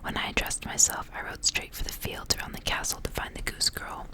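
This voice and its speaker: A woman reading a story aloud in a hushed ASMR whisper from start to end. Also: brisk. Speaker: ASMR reader.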